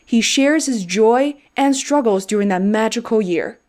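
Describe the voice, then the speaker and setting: A woman's voice: fairly high pitch, lively intonation, unhurried, darkish tone. A woman podcast host who reads a scripted introduction and then chats with her guest in an encouraging way.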